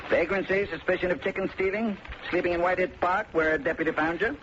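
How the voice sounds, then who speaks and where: Through muffled vintage radio sound with faint noise, an actor plays a male small-town sheriff. He is curt and suspicious of outsiders, his rustic talk pitched fairly high and carrying a threat. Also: fairly even intonation, quick pace, lightly acted. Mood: menacing. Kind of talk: old-time radio drama acting.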